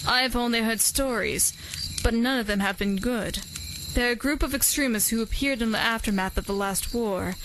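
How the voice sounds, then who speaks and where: A woman playing a stern, protective elderly leader of a young band of fighters, who speaks in a measured, commanding way. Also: on the high side, noisy background, lightly acted. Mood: grave. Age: older (figure). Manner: measured, commanding, matter-of-fact. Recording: night ambience with loud crickets.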